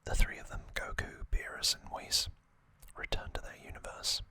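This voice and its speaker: A host whispering an ASMR recap in a soft, unvoiced, unhurried voice with frequent pauses.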